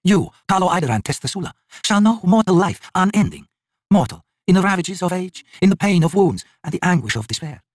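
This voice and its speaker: A man performs an anguished elder god in a fantasy audiobook. The voice is husky, raised in pitch, grave and intense, as if passing judgement. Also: sing-song, no room sound, studio quality. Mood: solemn cursing. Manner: slow, portentous, intense.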